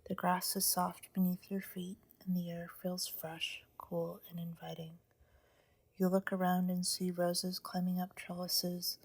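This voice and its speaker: A woman guiding a sleep meditation in a slow, soothing whisper, close to the microphone. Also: on the low side, husky, dry recording. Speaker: meditation guide.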